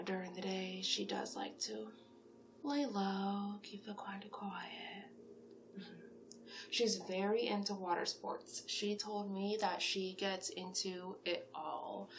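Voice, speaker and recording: A woman in a soft-spoken ASMR roleplay as a friendly, flattering matchmaker, gently encouraging and often slipping into whispers. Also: medium pitch, slight background noise. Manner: gentle, encouraging, salesy, unhurried with frequent whispered phrases. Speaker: ASMR roleplay performer playing a monster matchmaker.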